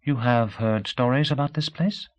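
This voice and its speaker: A male narrator voicing a candid, shrewd young woman in her early twenties who speaks softly and asks pointed questions in an audiobook. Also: mid-range voice, moderate pace, pitched above the performer's own voice, lightly acted. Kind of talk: audiobook character voice.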